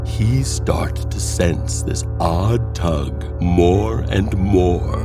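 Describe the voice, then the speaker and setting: A man narrates an eerie audio drama in a fairly low voice that swings widely in pitch. He takes his time, theatrical and full of pauses, with music playing behind him. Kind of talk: scripted horror audio drama narration, storytelling.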